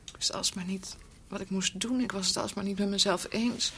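An actress playing a gentle young woman in a Dutch radio drama, speaking earnestly and emotionally, often breathy. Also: mid-range voice, fairly even intonation, brisk, a little hiss or hum, natural voice. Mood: anguished, apologetic. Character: gentle young woman, devoted niece of a doctor.

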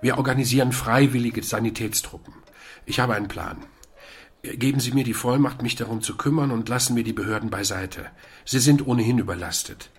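A man playing a calm, resolute volunteer organiser in a German radio play, with a deliberate, questioning delivery. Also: medium pitch, slightly rough.

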